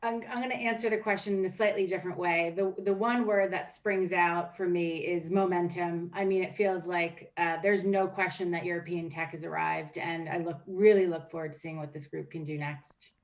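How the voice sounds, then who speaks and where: A woman's voice: lowish voice, moderate pace, few pauses, highs cut off. Distant-sounding woman panelist. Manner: steady, hedging with "um". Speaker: panelist, investor. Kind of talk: panel discussion.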